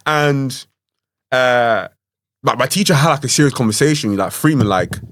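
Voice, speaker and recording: A man's voice: mid-range voice, lively intonation, normal speed, fairly bright tone, no echo, clean studio sound. A young man in his twenties from Ireland with no trace of an accent, co-hosting a podcast and telling a story in a chatty way full of fillers. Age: 20s. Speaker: podcast co-host. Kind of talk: casual podcast chat.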